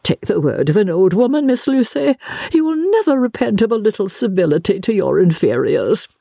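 A woman voicing a fussy, theatrical older English lady from a novel, gushing and bossy, with drawn-out, affected exclamations. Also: medium pitch, big pitch swings, gravelly, mellow, no room sound, clean studio sound, pitched above the performer's own voice. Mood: playful, bossy.

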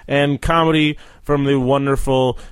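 A man's voice: mid-range voice, little pitch movement, unhurried, smooth, no room sound. A man hosting a podcast in a casual comedic chat, swearing freely and teasing his guest. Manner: foul-mouthed, teasing. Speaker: podcast host.

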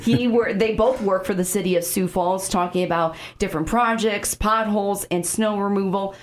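A woman from the Chicago suburbs with a Chicago accent, hosting a studio radio interview and asking quick, conversational questions. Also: mid-range voice. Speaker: radio host.

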